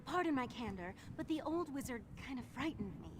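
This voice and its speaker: A woman's voice: high-pitched, expressive, fairly quick, very noisy. A woman playing a courteous, candid courier in a fantasy video game, speaking in formal phrases. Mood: polite, candid.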